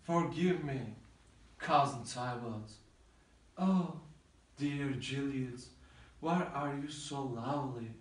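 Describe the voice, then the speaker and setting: An actor playing a desperate, grieving young lover, moving between pleading shouts and hushed sorrow, in an echoey room from a distance. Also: male voice, medium pitch, a little slow, close to an everyday voice. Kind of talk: modern-language play reading.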